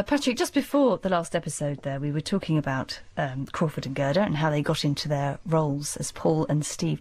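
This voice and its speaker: A woman's voice: on the low side, darkish tone, no echo. A woman presenter asking a guest chatty, curious questions. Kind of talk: interviewing a guest.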